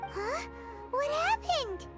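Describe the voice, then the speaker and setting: A tiny floating fairy girl from an anime game, childlike and excitable. The voice is extremely high and squeaky and takes its time over words. Loud music plays behind it. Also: very slow, full character voice. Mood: curious.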